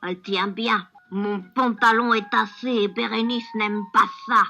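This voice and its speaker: An actor voicing a gentle little plush lion in a vintage children's puppet show, talking to themself in a sing-song way. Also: medium pitch, steady tone, unhurried, creaky, highs cut off, full character voice. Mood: fretting. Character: gentle little plush lion fretting over his laundry. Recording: old cartoon soundtrack with music behind. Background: music.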